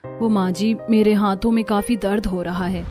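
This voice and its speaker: A woman voicing a polite, plaintive young daughter-in-law in a cartoon family drama, soft and earnest. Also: mid-range voice, fairly even intonation, moderate pace, soft background music, lightly acted. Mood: pained.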